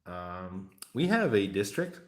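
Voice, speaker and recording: Hungarian male streamer, very low voice, loose joking ramble, echoey room. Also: big pitch swings, slow pace. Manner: rambling, joking, talking to chat. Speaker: livestream hobby host. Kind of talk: casual livestream chat while building a model.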